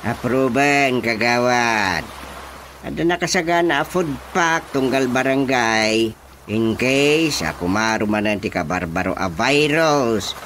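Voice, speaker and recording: A man acting an excitable, exasperated village chairman in an Ilocano radio drama comedy, exclaiming and scolding theatrically with an Ilocano accent. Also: medium pitch, takes it slowly, slight background noise. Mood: matter-of-fact.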